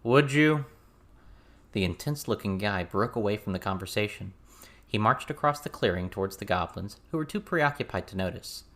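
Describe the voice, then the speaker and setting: A man's voice: on the low side, brisk, a bit bright. A man reading a scripted story as an audiobook narrator.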